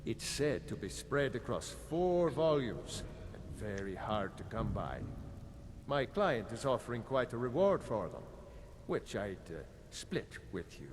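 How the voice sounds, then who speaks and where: An actor playing a shady, weary tavern keeper who brokers underworld deals with sly hesitation, in video game dialogue. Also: male voice, mid-range voice, big pitch swings, measured pace. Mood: sly, hushed. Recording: reverberant game audio with background noise.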